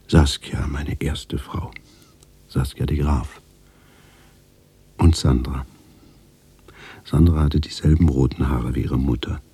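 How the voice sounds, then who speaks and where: An actor playing a sober, middle-aged doctor who recalls his past in measured, reflective radio drama narration, with a husky, breathy voice. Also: rumbling low, warm tone, exaggerated voice. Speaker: first-person narrator.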